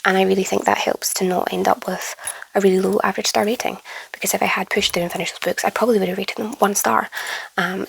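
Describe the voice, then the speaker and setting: A young woman chatting to camera about her favourite books, her voice hoarse and croaky from a flu, enthusiastic and casual, often trailing into a breathy near-whisper. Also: medium pitch, low-quality recording. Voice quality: hoarse, gravelly. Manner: chatty and enthusiastic, drifting into soft whispery stretches. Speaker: book vlogger. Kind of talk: casual reading wrap-up to camera.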